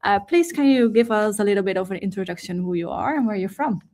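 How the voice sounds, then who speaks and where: A woman's voice: medium pitch, animated, quick pace, darkish tone. A woman hosting a live online session, casually introducing a guest in a loose, hesitant way. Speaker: livestream host. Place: online livestream.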